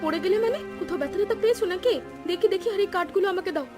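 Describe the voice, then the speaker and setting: A woman voicing a practical, caring village wife in a Bengali cartoon story, brisk and affectionately scolding. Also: very high-pitched, animated, over music. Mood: scolding, worried.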